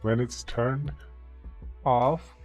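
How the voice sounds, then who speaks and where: A man giving a casual software tutorial, often tagging his sentences with okay. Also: medium pitch, steady tone, extremely slow. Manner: repeats okay as a tag. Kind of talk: casual screen-recorded tutorial. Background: background music.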